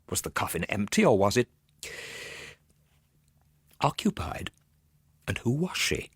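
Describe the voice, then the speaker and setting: An actor playing an overwrought, gloomy minor civil servant in a radio ghost story, theatrical and suspenseful. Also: male voice, on the low side, breathy edge, no room sound, clean studio sound. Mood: bewildered dread. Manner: theatrical, suspenseful, dropping at times to hushed asides. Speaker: actor narrating a radio drama. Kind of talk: dramatic first-person ghost story narration for radio.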